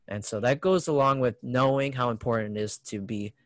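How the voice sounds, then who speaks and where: A man's voice: medium pitch, brisk, no echo, studio quality. A man talking in an earnest, reflective way, often ending his thoughts with a questioning "right?". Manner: earnest, ends phrases with "right?". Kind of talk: reflective conversational talk.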